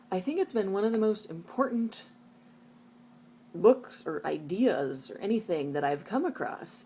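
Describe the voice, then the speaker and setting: Low-voiced woman, noisy homemade recording. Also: mellow. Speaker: video blogger. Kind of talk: casual personal vlog talk, reflective.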